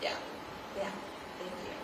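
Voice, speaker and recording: A woman speaking as the guest author at a live question-and-answer event, thinking aloud in a halting way with plenty of hedges. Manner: thoughtful, halting, hedging with frequent "like". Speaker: guest author at a book talk. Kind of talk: unscripted audience question-and-answer talk. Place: live event venue, picked up from afar. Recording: distant, very echoey, noisy live event recording.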